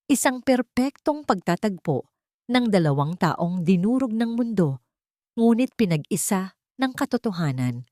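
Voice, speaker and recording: A woman narrating a scripted emotional story in a slow, solemn, sentimental manner. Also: mid-range voice, darkish tone, dry recording, clean studio sound.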